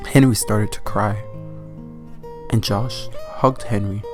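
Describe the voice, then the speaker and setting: A man's voice: lowish voice, fairly even intonation, music in the background. A man narrating a comic horror story as a casual, foul-mouthed storyteller, leaning on words for emphasis. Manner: profane, informal, drawn-out emphasis.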